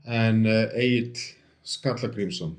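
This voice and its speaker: A man's voice: lowish voice, measured pace. A man from Iceland with an Icelandic accent, giving casual interview answers in a hesitant way, with many fillers and restarts. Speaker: interview guest, book restorer. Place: home.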